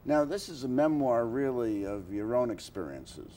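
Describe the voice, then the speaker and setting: A man hosting a conversational interview, asking questions in a halting, hesitant way. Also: mid-range voice, lively intonation, mellow. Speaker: interview show host.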